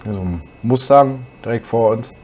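A young man with a slight cold, hoarse, chatting casually as he plays a truck driving game for his channel. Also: mid-range voice, moderate pace. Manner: relaxed, chatty, musing aloud while driving. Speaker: gaming channel host.

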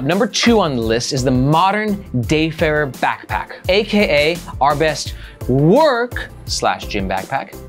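A man in his thirties hosting a casual, joking product review at a desk in an indoor studio, showing bags with his hands as he talks. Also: on the high side. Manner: upbeat, joking, animated with hand demos. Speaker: backpack reviewer and host.

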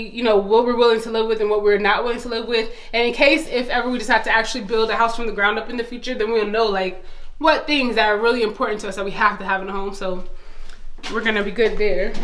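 Echo rings around a young American woman with a fairly high voice, recorded in empty rooms indoors. She talks in a casual, upbeat way, like a vlogger showing friends around her house. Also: fairly bright tone. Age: young adult. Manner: upbeat, enthusiastic. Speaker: vlogger giving a home tour. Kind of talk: casual chatty walk-through to camera.

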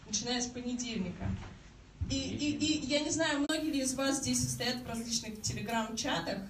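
A woman's voice: high-pitched, normal speed. A woman in her twenties, speaking as a campaign office coordinator to a public meeting, earnest and hesitant with restarts. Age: 20s. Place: crowded meeting room indoors. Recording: echoey room, mic far off, noisy.

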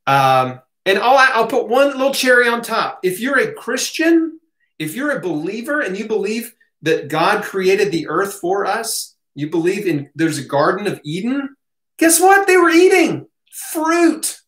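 An American man with a fairly high voice talks casually to camera in a home office. The room adds a clear echo. He hosts a Q&A, giving opinionated answers in colloquial language. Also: very animated, a little slow, a bit bright. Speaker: host answering audience questions. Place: small home office, indoors.

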